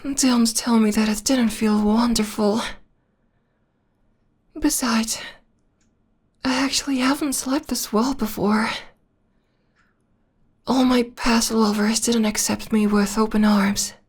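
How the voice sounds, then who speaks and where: Woman acting a breathy, whispery, obsessed vampire girlfriend. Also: fairly high pitch, fairly even intonation, full character voice. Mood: possessive tenderness. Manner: slow, tender, lingering delivery. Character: possessive, obsessed female vampire lover, clingy and adoring. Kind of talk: intimate ASMR roleplay.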